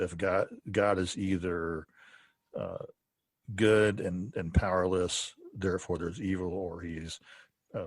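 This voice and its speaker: Male host, casual interview show. Deep, husky voice, unpolished and halting delivery. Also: no echo. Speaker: podcast host.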